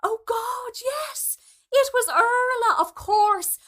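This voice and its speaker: A woman's voice: very high-pitched, expressive, takes it slowly, bright, forceful tone, voice pushed up, exaggerated voice. A woman voicing a snobbish, socially ambitious mother with a gushing, affected manner in a story reading. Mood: gushing, flustered. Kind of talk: storybook dialogue.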